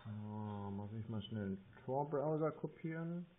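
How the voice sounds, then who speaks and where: A man's voice: on the low side, animated, a little slow, music in the background. A man talking on the phone as a customer, hesitant and plodding, asking questions as he goes. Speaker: scam-baiter posing as a customer. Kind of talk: phone conversation. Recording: muffled narrow phone line.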